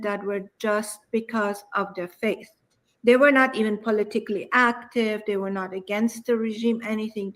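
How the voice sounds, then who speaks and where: A woman interview guest from Iran explains things in a measured, serious way. Her voice sits at a medium pitch and stays fairly flat, with little rise and fall. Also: poor audio. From born in Iran, grew up in Germany and the US. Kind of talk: explanatory personal account in an interview.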